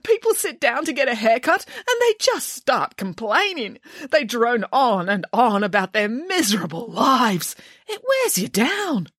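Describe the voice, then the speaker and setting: A woman's voice: low-pitched, sing-song, normal speed, few pauses, a little mellow, no echo, clean studio sound. A woman playing a surly, world-weary young female hairdresser in a children's story, grudging and complaining. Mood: tearful, self-justifying.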